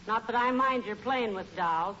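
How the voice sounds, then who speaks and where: A woman's voice: on the high side, moderate pace, natural voice. A woman with an American accent playing a patient, wry middle-aged wife with a dry teasing manner in a 1940s radio comedy. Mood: teasing, accusing. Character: patient, wry wife who gently needles her husband. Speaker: comedy co-lead actor. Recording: dull, hissy old-time radio recording.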